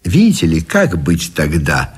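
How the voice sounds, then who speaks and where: Scornful army general, deep voice, swooping emphatic intonation, sardonic, radio play. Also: male voice, huge pitch swings, a little slow, husky, full character voice. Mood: sardonic, pressing. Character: scornful, cynical, domineering army general, middle-aged man. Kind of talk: Russian radio drama acting.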